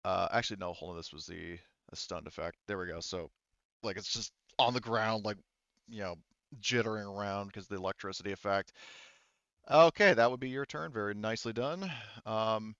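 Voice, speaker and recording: Man, game master, casual and profane, halting delivery, slightly low clear voice. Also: a bit bright, dry recording, muffled recording. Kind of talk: running an online tabletop roleplaying game.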